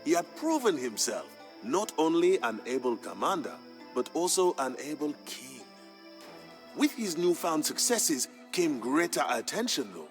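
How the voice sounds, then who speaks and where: An actor playing a proud royal griot, narrating a strategy game's campaign story in a grand, measured and emphatic manner. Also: male voice, fairly high pitch, fairly bright tone. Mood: proud, rousing. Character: proud male griot, a king's humble family historian, solemn storyteller. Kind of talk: dramatic scripted narration for a strategy game campaign. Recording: music behind the voice. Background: background music.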